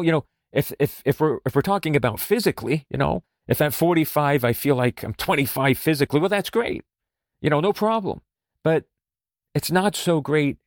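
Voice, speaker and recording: A man hosting a podcast, talking in a gentle, reflective way with lots of "you know" fillers. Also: mid-range voice, vocal fry, no echo, clean studio sound. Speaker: podcast host. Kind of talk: reflective spoken talk.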